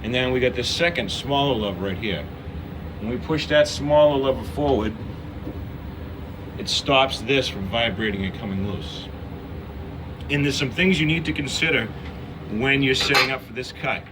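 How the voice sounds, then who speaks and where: A man's voice: medium pitch, moderate pace, pauses often. A man giving an informal, step-by-step hands-on demonstration as a machine shop instructor. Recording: distant mic, noisy machine shop hum.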